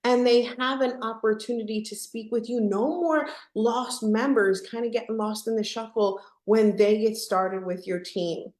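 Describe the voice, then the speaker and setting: A woman's voice: on the high side, steady tone, moderate pace, hardly any pauses, a little mellow. A woman hosting an online product webinar with an upbeat, chatty sales style, often tagging her points with "right?". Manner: chatty, peppered with "right?" tags. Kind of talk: upbeat live sales presentation. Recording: echoey, roomy sound.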